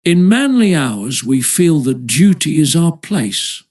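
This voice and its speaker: Man reading an audiobook, slightly high-pitched. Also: sing-song, slow, darkish tone. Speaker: audiobook narrator. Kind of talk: audiobook reading of a philosophical essay.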